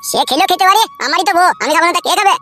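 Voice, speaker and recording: A man's voice: extremely high pitch, racing, loud music behind the voice. A man voicing a brash, complaining, foul-mouthed young son-in-law in a comedy cartoon. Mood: whining complaint. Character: cheeky, whining, squeaky young husband and son-in-law.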